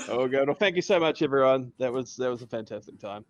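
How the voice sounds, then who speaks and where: A man's voice: mid-range voice, sing-song, normal speed, muffled recording. A man hosting a casual podcast chat, upbeat and laughing often, calling his guests buddy. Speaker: podcast host.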